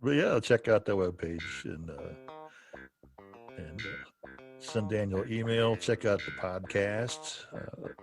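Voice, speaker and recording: A man hosting a podcast in casual conversation, informal and halting in his delivery. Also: on the low side, expressive, soft background music. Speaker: podcast host.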